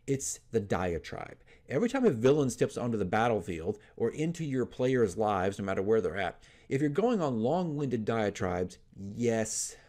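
A man's voice: fairly low pitch, a little mellow. A man in his forties hosting a casual opinion vlog, talking emphatically and conversationally as a game master giving tips. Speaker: dungeon master giving tips as channel host. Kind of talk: casual opinion talk to camera. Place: small furnished room at home, indoors. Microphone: desk microphone in front of him.

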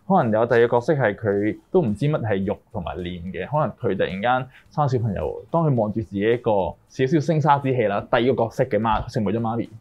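A man's voice: on the low side, expressive, quick pace, hardly any pauses, darkish tone, muffled recording, soft background music. A man with a Hong Kong accent explaining his work in an interview as a director, mixing in English words. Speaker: music video director. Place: small indoor room, group interview, through a face mask.

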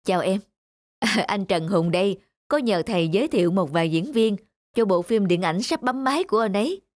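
A man voicing a courteous adult in a cartoon, speaking politely and in a measured way. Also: high voice, lively intonation, dry recording, studio quality. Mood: complimentary. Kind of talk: dubbed cartoon dialogue.

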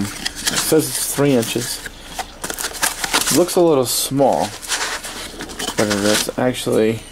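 A man giving a casual, halting, offhand unboxing review at a desk in a small indoor workspace. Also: mid-range voice. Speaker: product reviewer. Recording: steady noisy room ambience.

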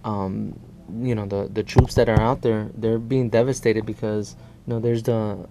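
A man in his thirties from El Salvador, discussing politics as an activist guest in halting, repetitive phrases. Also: on the low side, level tone, background noise. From born in El Salvador. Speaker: guest, immigrant rights activist. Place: small indoor TV studio.

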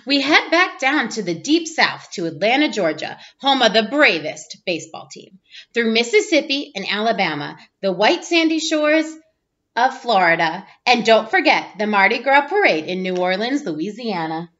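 Animated, high-voiced woman reading a picture book. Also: moderate pace. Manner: expressive, playful exclamations and sound effects. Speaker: teacher reading aloud. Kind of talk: children's picture book read-aloud. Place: small room at home, indoors. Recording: dull lo-fi webcam audio.

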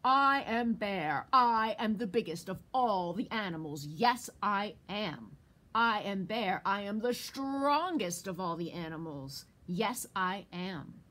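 A woman voicing a big, boastful male bear in a read-aloud story, speaking in a slow, booming, bragging way. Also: expressive, fairly bright tone.

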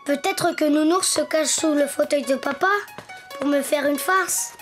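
A performer voicing a cheerful, imaginative little boy donkey in a cartoon, in a childlike, expressive way. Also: sits high, a little slow, music in the background. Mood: searching, worried. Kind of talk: cartoon voice acting.

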